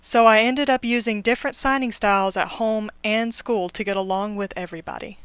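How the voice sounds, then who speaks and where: A woman student interpreter voicing a signer's personal story in steady first-person narration. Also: medium pitch, fairly quick, clean tone, dry recording.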